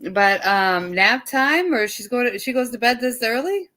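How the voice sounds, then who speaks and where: A woman hosting a podcast live stream in a loud, animated casual chat. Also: fairly high pitch, measured pace. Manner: loud, animated, laughs often. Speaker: podcast host. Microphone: live stream setup.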